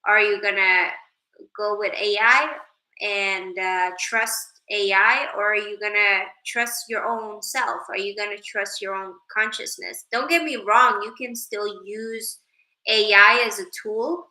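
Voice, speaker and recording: A woman's voice: medium pitch, steady tone, slow pace, bright, forceful tone, roomy sound, low-quality recording. A woman from Sweden hosting a casual livestream, greeting viewers by name and musing about consciousness. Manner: chatty, reads viewer names aloud, drifts into spiritual musing. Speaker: livestream host. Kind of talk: casual livestream chat reading comments.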